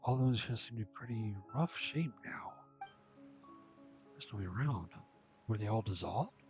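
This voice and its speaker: A man giving casual, low-key commentary as he plays a video game. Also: animated, moderate pace, a little mellow. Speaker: gamer. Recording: game music over the commentary.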